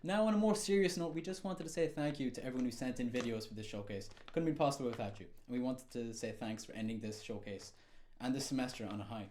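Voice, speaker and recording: A man's voice: medium pitch, lively intonation, fast, few pauses, darkish tone, a little hiss or hum, natural voice. A young man playing an upbeat TV field reporter and co-host with a breezy, showy manner. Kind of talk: parody TV presenting.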